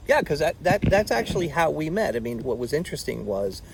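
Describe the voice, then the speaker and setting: A man's voice: fairly high pitch, fairly quick, vocal fry. A man hosts a conversation outdoors, his voice rising and falling expressively. He rambles and restarts his sentences. Faint outdoor noise and passing vehicles sit behind him. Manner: animated, rambling. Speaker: show host. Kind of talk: conversational interview. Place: outdoor botanical garden.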